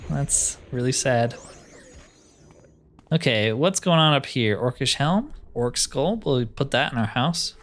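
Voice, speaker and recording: A man giving casual gameplay commentary, thinking aloud with dry, joking asides. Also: mid-range voice. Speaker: gamer narrating a roleplay playthrough. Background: game music.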